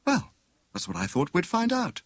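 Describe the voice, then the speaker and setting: A man voicing a witty, sharp-tongued young wife with a withering, coaxing and brisk manner in an audiobook. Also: sits high, wildly swooping pitch, no room sound, studio quality, pitched above the performer's own voice, full character voice. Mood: keen, brisk.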